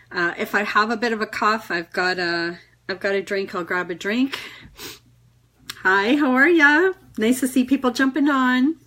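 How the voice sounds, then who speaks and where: A woman's voice: mid-range voice, fairly bright tone. A woman with a cold giving a casual, encouraging live talk to viewers, chatty and full of fillers. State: has a cold. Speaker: network marketing business trainer.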